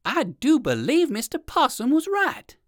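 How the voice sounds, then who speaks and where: Woman playing polite old gentleman rabbit, very animated. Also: sits high, measured pace, dry recording, studio quality, voice pushed up, exaggerated voice. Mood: jolly. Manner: courteous, old-fashioned, curious. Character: polite, eager elderly gentleman rabbit with rheumatism.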